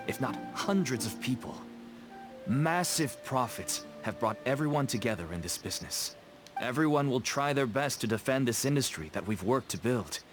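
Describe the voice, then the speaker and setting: A man's voice: medium pitch, close to an everyday voice. An actor playing a nervous, ingratiating merchant father with a shady trade in a video game. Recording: game music behind the voice.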